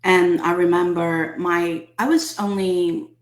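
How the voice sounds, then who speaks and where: A woman, a Cantonese speaker, telling her life story as an interview guest in a halting, soft-spoken way with many pauses. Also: on the low side, fairly even intonation, roomy sound. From Hong Kong. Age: 30s. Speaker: guest, healing mentor.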